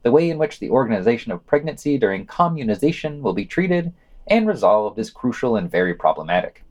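A man's voice: medium pitch, big pitch swings, fairly quick, a little mellow. A man co-hosting a podcast, reading a scripted news round-up in a wry, sardonic way. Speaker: podcast co-host.

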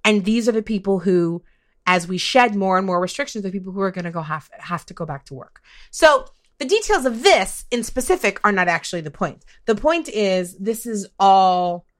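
A woman's voice: medium pitch, fairly bright tone. A woman in her late forties talking casually but emphatically as a co-host in a podcast discussion. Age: late 40s. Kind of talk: casual conversation on politics.

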